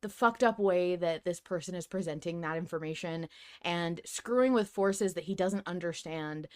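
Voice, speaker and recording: A woman's voice: on the low side, moderate pace, darkish tone. A woman hosting a podcast, talking casually and emphatically in a fan discussion, with frequent swearing. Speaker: podcast host.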